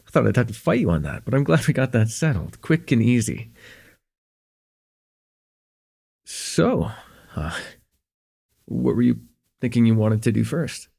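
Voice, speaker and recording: A man's voice: medium pitch, animated, moderate pace, creaky, dark tone. A young man playing a friendly, forgetful host in a slice-of-life ASMR roleplay, chatting casually and hesitantly with a close friend at home. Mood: easygoing, welcoming. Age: young adult. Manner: hesitant, rambling, chuckling, easygoing.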